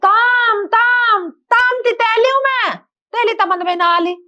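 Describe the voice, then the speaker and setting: A cranky, insistent old mother-in-law with a thick childlike lisp, as a woman acts her out. Her voice is shrill and very high. The sound is dull and muffled. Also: takes it slowly, bright, forceful tone, heavily acted. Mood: nagging. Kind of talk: comic skit acting.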